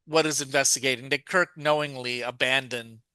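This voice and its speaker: A man co-hosting a podcast in casual discussion, often halting and correcting himself as he goes. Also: medium pitch, fairly even intonation, sharp tone, clean tone, no echo. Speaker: podcast co-host.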